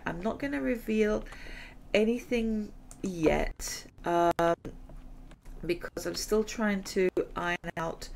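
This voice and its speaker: A woman's voice: lowish voice, gravelly, a little mellow, slight background noise. A middle-aged woman hosting a gaming channel, chatting in a rambling way and laughing often while she loses her voice. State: losing her voice. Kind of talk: casual chat over gameplay.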